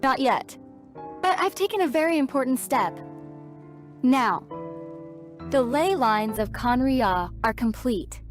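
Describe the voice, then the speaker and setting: A woman playing a solemn, resolute young princess in a video game, speaking earnestly and formally as if burdened by old guilt. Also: fairly high pitch. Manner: earnest, formal storytelling delivery. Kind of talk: dramatic video game dialogue. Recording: studio voice over background music.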